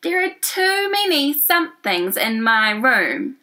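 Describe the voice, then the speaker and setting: A woman's voice: high voice, sing-song, takes it slowly, bright, forceful tone, exaggerated voice. A woman voicing an exasperated young boy in a storybook, protesting emphatically.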